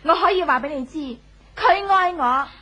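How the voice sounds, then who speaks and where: A haughty young wife in an old radio play, her voice high. She is cool, aloof and cutting, with open scorn in her delivery. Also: normal speed, sharp tone, dull audio. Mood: scornful. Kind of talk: 1970s radio drama acting. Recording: old 1970s radio broadcast.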